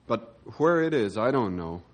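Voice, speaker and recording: A man playing a loyal, thoughtful young ranger in a radio western, speaking earnestly and in measured phrases. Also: lowish voice, sing-song. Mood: firm, loyal. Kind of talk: old-time radio western drama acting.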